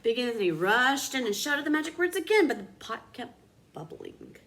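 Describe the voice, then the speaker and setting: A woman reads a children's story aloud like an expressive teacher. Her voice is fairly high and swings widely in pitch for dramatic effect. There is faint noise in the background. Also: normal speed.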